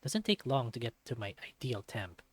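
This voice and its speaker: A man's voice: medium pitch, big pitch swings, moderate pace, slightly rough, no room sound. A man voicing a self-deprecating young male otter, narrating a visual novel in the first person with wry self-mockery. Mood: wry, subdued.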